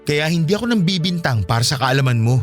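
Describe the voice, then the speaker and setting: A man's voice: on the high side, lively intonation, quick pace, faint music behind, close to an everyday voice. A man with a Filipino accent playing an aggrieved, pleading young father in a radio drama, insistent and emotional. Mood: reproachful.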